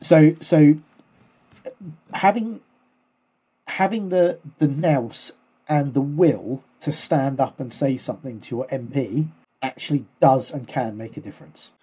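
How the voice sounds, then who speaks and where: Chatty man, husky mellow voice. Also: medium pitch, unhurried, poor audio. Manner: rambling, self-correcting, chatty explainer. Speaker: podcast co-host. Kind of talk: casual chat.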